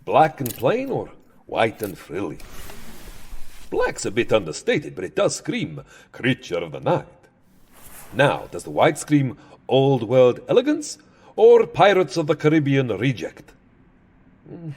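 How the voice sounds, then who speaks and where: A man's voice: medium pitch, huge pitch swings, measured pace, long pauses, darkish tone, husky, full character voice. A man playing a weary, exasperated vampire entertainer in a comic audio drama, grumbling theatrically and petulantly. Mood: fussy, pondering.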